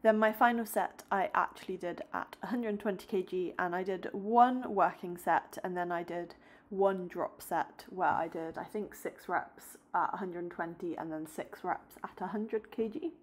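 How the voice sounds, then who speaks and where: Young woman, fitness vlogger, lowish voice, casual chat, calm step-by-step explaining. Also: moderate pace. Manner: chatty, upbeat to camera, then calm step-by-step explanation. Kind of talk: casual vlog chat and workout voice-over. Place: indoors at home, close to a phone camera.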